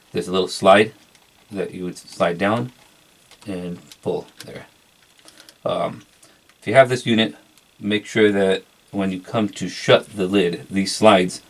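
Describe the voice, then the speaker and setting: A man with a low voice talks through a casual unboxing review. His delivery is flat and halting, moving without hurry from one feature to the next as he shows it. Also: gravelly. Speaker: product reviewer.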